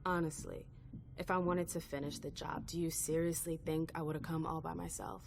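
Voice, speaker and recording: An actress playing a guarded, determined vampire envoy in a video game, earnest and direct. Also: female voice, low voice, flat delivery, brisk, creaky, a little hiss or hum. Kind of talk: dramatic video game acting.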